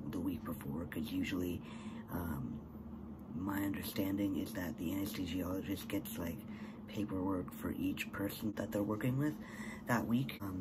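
A young man talking casually to a webcam in his bedroom, soft-spoken with many fillers, often trailing off into breathy whispers. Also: deep voice, very noisy. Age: young adult. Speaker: vlogger. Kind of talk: casual personal vlog. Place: small bedroom indoors.

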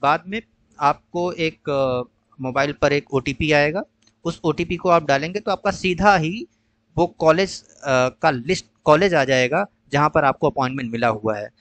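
A man patiently walking viewers through an online counselling process in a screen-share tutorial, pausing often with "uh". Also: a little high, a bit bright, no echo. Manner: patient, explanatory, mixes English phrases with frequent "uh" pauses. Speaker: tutor explaining a college allotment process.

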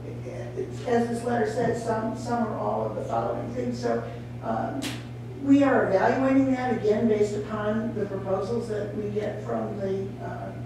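A woman speaks at a podium during a public board meeting, plain and practical in manner. Her voice rings through a large, echoey council chamber and sounds a little distant from the mic. A steady electrical hum sits underneath. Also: medium pitch, moderate pace.

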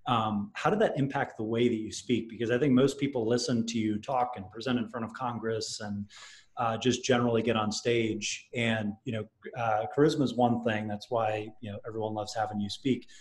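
A man's voice: fairly low pitch, steady tone, brisk. Rambling man hosting over a headset. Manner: jokey, rambling questions with fillers. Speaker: podcast host. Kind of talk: casual podcast interview. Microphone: headset on video call.

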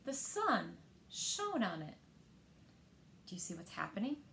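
A woman in her thirties reads picture books aloud to young children with expressive, deliberate phrasing, recorded indoors in a living room. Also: medium pitch, vocal fry, a little hiss or hum. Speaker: storyteller at a library story time.

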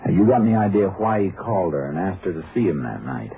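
An actor playing a calm, skeptical Texas Ranger in a 1950s radio drama, speaking in a dry, measured, deadpan way. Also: male voice, low-pitched, a little hiss or hum. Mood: polite, probing. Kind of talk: 1950s radio drama acting, first-person narration and dialogue. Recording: muffled vintage radio recording with music.

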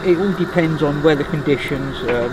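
A man's voice: on the high side, moderate pace, noisy background. Easygoing man cooking outdoors. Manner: easygoing, friendly, says mate. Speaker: guest cook. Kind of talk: casual explaining while cooking. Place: outdoors by a campfire in a forest.

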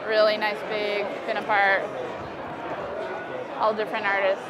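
A woman's voice: mid-range voice, completely flat delivery, unhurried. A young American woman talking casually and informally as an artist being interviewed at an expo booth. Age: young adult. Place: indoor convention expo booth. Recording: busy crowd noise around the voice. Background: convention hall crowd chatter.

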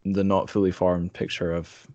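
A man's voice: low-pitched, little pitch movement, normal speed, warm tone, dry recording, highs cut off. A man hosting a podcast in a casual, conversational way, with wry, dry understatement. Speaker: podcast host. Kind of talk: casual interview chat.

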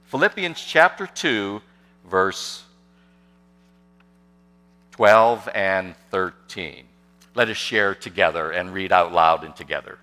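A man's voice: low voice, animated, takes it slowly, pauses often, pushed and bright. A man in his sixties preaching a sermon from a pulpit microphone in a large church, hesitant and full of fillers, asking the congregation questions. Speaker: pastor. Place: church sanctuary, large and indoors. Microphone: podium microphone on a gooseneck. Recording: long church reverb.

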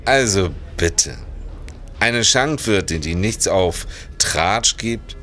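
Man acting low-voiced hearty police constable. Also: lively intonation, slow pace, bright, forceful tone, soft background music, full character voice. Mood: probing. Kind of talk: historical radio play acting.

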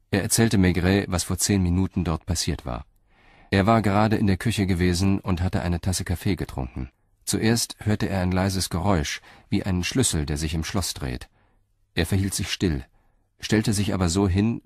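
A man with a low voice narrates a crime radio play. His delivery is sober and measured, like scripted storytelling, in a close, dry recording. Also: a little mellow, no room sound.

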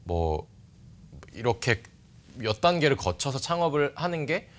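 A man in his thirties giving polite, measured explanations as an interview guest. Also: mid-range voice, sing-song. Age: 30s. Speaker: interview guest, startup CEO. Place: bright TV studio. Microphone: lapel mic.

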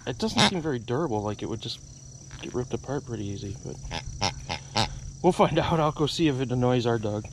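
A man's voice: mid-range voice, expressive, fairly quick, long pauses, rough recording. Middle-aged guy rambling about his resale finds outside by the car, body cam, crickets buzzing behind. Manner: offhand, thinking aloud, unsure of values. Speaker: reseller showing his haul. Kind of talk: casual vlog narration. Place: outdoors by a car trunk, rural. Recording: outdoor noise with insects.

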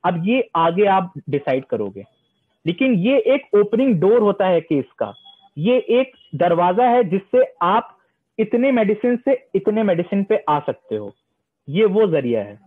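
A young man teaching homeopathy, reading English passages slowly and then explaining them, often answering his own questions. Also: high voice, big pitch swings, breathy edge. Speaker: homeopathy lecturer. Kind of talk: online lecture mixing Hindi and English. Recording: tinny phone-line sound.

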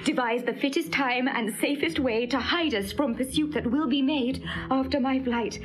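An actress playing a young noblewoman planning her escape, resolute and quiet, in classical stage style. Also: sits high, moderate pace, over music, lightly acted.

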